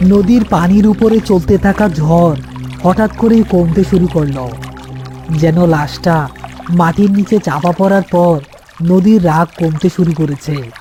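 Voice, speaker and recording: A man's voice: high voice, dark tone. A man narrating a scripted horror cartoon story.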